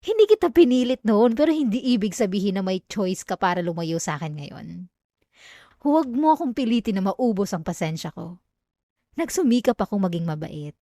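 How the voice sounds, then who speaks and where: A woman's voice: mid-range voice, sing-song, normal speed, dark tone, no room sound. A young woman with a Filipino accent voicing an obsessive, possessive female classmate in an intimate, dramatic ASMR roleplay, addressing the listener up close. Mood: menacing, possessive. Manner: intimate, pleading turning coldly threatening, dramatic. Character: obsessive yandere female classmate, clingy, wounded, menacingly possessive. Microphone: close microphone, dry sound.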